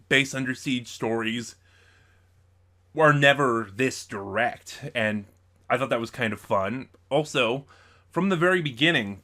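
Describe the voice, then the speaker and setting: Bright, forceful man giving casual reviews. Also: mid-range voice. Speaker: video reviewer. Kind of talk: casual review monologue ranking audio drama stories.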